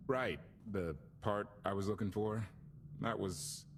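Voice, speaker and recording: Earnest rebel captain, slightly low, informal, loyal, noisy ship ambience, game cutscene. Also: male voice, slow pace. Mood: sheepish, determined. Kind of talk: video game cutscene acting.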